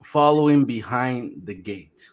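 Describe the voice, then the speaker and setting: A man's voice: mid-range voice, expressive, a little slow. A man co-hosting a casual livestream, wry and teasing, dropping in dry jokes. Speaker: co-host. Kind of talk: casual chat.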